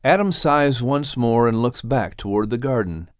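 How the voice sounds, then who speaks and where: A man narrating an audiobook with dramatic, expressive storytelling. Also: medium pitch, moderate pace, clear, resonant tone, no room sound, studio quality.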